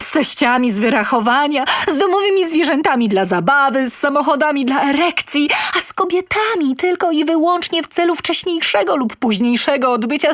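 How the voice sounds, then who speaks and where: A woman playing a self-satisfied, sensual lonely inventor, telling her comic tale in a theatrical, expressive manner. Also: high-pitched, dry recording, clean studio sound. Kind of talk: dramatic comic story narration.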